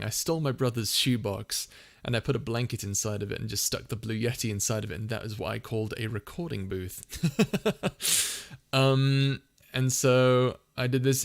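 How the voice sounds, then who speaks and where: A man with a soft, soothing voice at an everyday middle pitch chats casually on a livestream. He wanders through his answers and often stops to restart a sentence or fill a pause. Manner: rambling, full of fillers and restarts. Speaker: ASMR channel host answering viewer questions.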